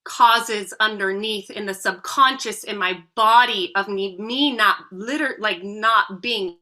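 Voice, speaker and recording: Woman voice coach, 30s, bright tone; sincere guest on a podcast interview. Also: mid-range voice, takes it slowly. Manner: earnest, heartfelt storytelling.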